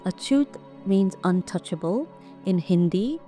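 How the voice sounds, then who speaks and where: A woman speaks slowly and deliberately, her voice dropping away at the ends of lines. Her tone is low and mellow. Soft music plays faintly underneath. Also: animated. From India. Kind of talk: personal testimony for a video.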